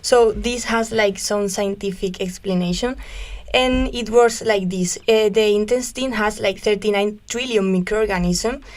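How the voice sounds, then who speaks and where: A woman's voice: mid-range voice, fairly even intonation, measured pace. A young woman from Spain co-hosting a volunteer radio show, explaining nutrition facts with enthusiasm and easy laughs.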